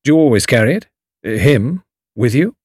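Narrator voicing a restrained young Englishman, lively pitch. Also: normal speed, husky, no room sound, studio quality. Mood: curious. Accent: British. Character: uneasy young Englishman, restrained but eager adventurer.

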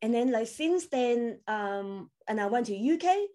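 A woman's voice: on the high side, animated, a little slow, warm tone. A woman from Hong Kong with Cantonese as her first language, telling her story as a podcast guest in a chatty way, with loose grammar and many fillers. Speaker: podcast guest, crypto coach. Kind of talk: casual interview chat.

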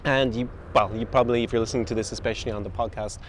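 A man's voice: medium pitch, fairly even intonation, very fast, a little hiss or hum. A young man from Ireland with a faded, mixed Irish accent, talking casually as a podcast host, with frequent fillers and restarts. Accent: mixed, faded Irish with international influences. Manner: conversational, with frequent fillers and self-corrections. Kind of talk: casual talk to camera. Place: outdoors in a city street.

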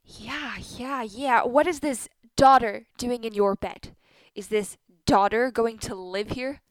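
A woman's voice: fairly high pitch, takes it slowly, dry recording. A woman playing a blunt, sardonic middle-aged wife with a bitter tongue in a radio play. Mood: suspicious.

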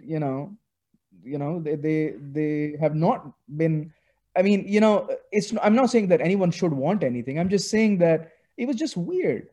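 A man from Pakistan, a guest author talking casually in an interview, animated and full of restarts. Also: on the high side. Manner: animated, many restarts and fillers.

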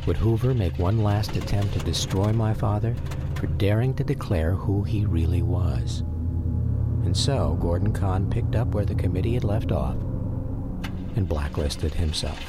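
An actor playing a grown son who gravely and reflectively narrates his hounded father's story in a radio drama, with an American accent. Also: low voice, a little slow. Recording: radio drama with music behind.

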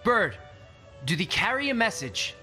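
A man's voice: sits high, very animated, a little slow, sharp tone, faint music behind, full character voice. A man playing an anxious, impulsive young man in an audio drama, loyal and stammering when upset. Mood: impatient, coaxing. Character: anxious, guilt-ridden young man, loyal to his friend.

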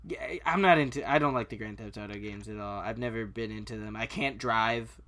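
Bright-voiced man in casual gaming chat. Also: lowish voice, fairly quick. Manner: colloquial, sweary, opinionated. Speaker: podcast co-host. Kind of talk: casual chat about video games.

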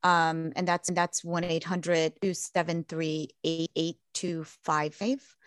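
Measured, halting woman clinician, dry sound. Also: low voice, level tone, no room sound. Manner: measured and halting, with many restarts and fillers. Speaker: child and adolescent mental health clinician. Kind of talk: casual podcast interview.

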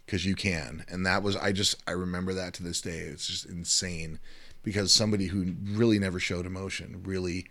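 A middle-aged man with a deep voice co-hosting a podcast, chatting casually and rambling a little, repeating words as he tells his stories. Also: fairly even intonation, brisk, pushed and bright. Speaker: podcast co-host. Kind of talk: casual chat.

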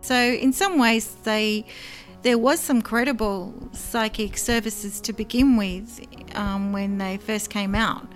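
A woman, a guest in an interview, telling of her past work in an earnest, measured way with frequent ums. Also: a little high, fairly even intonation, faint music behind. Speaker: former psychic line worker, guest. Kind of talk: interview, personal recollection.

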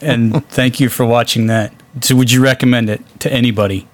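A man's voice: mid-range voice, steady tone, a little fast, dry recording. A man hosting a podcast in casual, joking conversation. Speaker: film discussion podcast host. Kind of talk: casual chat.

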